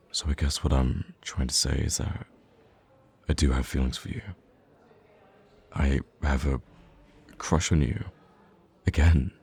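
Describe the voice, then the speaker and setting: A man's voice: very deep voice, fairly even intonation, slow pace, vocal fry, long pauses, darkish tone, breathy edge, heavily acted. A man playing a smitten young best friend in an intimate romantic ASMR roleplay, speaking hesitantly and tenderly with some playful teasing. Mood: nervous, earnest.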